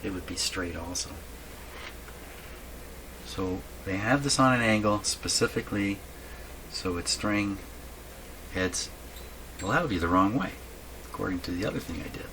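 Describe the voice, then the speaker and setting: Man, low voice, hobbyist repair narration, halting, thinking aloud, noisy workshop recording. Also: sharp tone. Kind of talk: unscripted muttered commentary while working. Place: indoor radio repair workshop.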